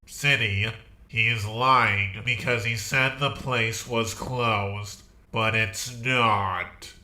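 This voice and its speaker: Slow, very bright-voiced man in echo. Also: lowish voice, fairly even intonation. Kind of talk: reading chat messages aloud.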